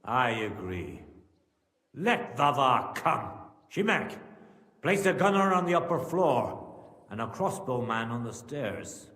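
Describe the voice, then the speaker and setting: A man's voice: medium pitch, sing-song, takes it slowly, a bit bright, slightly rough, full character voice. A man playing a commanding, sardonic middle-aged medieval lord and father in a dramatic video game.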